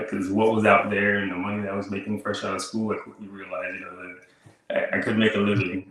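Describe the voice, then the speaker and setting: A man in his thirties from Haiti, a podcast guest telling his story in relaxed casual chat, full of "like" and "kinda" fillers. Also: lowish voice. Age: 30s. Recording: echoey room.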